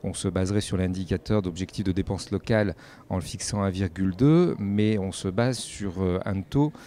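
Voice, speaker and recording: A man giving measured, explanatory spontaneous answers as a local politician in an interview. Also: deep voice, mellow, slight background noise. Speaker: mayor and head of a local authority, interviewee.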